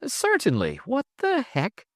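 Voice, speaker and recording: A man's voice: extremely high pitch, huge pitch swings, unhurried, dry recording, studio quality, pitched above the performer's own voice, exaggerated voice. A man voicing a baffled, eager young male sidekick in an audiobook, hesitant and questioning. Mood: puzzled. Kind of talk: audiobook character dialogue.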